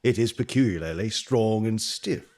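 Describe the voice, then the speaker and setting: Casting: genial Victorian gentleman physician, fairly low register, courteous and mildly flustered, radio-play delivery. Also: unhurried. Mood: curious. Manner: polite, affable, hesitant. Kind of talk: audio drama acting and narration.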